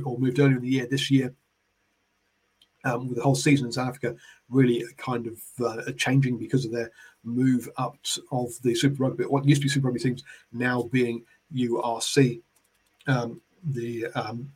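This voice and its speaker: Brief: male NZ rugby podcast host, hoarse from a head cold, husky, rambling with lots of ums and uhs. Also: mid-range voice, flat delivery, normal speed, a little mellow, rough recording. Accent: New Zealand. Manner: rambling, many fillers and restarts. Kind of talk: casual solo sports talk.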